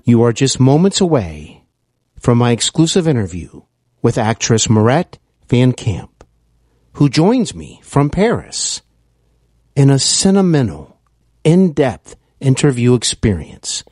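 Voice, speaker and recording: Slow, measured male podcast host. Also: mid-range voice, huge pitch swings, a little mellow, no echo, clean studio sound. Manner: slow, deliberate, measured delivery. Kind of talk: scripted podcast promo.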